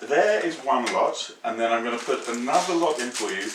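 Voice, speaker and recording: A middle-aged man, hosting a home cooking demonstration in a kitchen, chatty, playful and excitable. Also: medium pitch, a little fast, roomy sound, far from the mic, background noise. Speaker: cooking show host.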